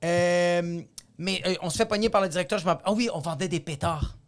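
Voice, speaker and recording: Un jeune homme à l'accent québécois, humoriste invité, qui raconte ses anecdotes avec animation dans une discussion décontractée. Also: male voice, high voice, brisk. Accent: Quebec French. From Quebec. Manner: animated storyteller, slips into voices when quoting people. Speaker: guest comedian. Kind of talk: casual podcast chat.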